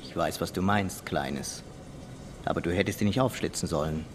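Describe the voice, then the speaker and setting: An actor playing a calm, commanding police detective in a radio crime drama. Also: male voice, sits low, moderate pace, natural voice. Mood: patient. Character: brisk, authoritative male police detective, unflappable.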